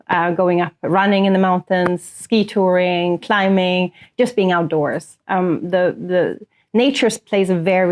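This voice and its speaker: A woman in her thirties or early forties from northern Sweden, a native Swedish speaker, explaining things earnestly as an executive in a conversational interview. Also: on the low side. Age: 30s to early 40s. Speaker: corporate sustainability executive, interview guest.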